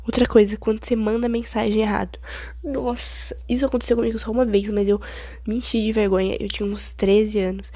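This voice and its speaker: Late-teen woman, Brazilian Portuguese accent, podcast host with a head cold; husky, dry close sound, chatty and dramatic. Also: mid-range voice, little pitch movement, brisk, warm tone, no echo. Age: late teens. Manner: chatty, rambling, dramatic complaining. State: stuffy-nosed with a cold. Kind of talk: casual solo podcast chat.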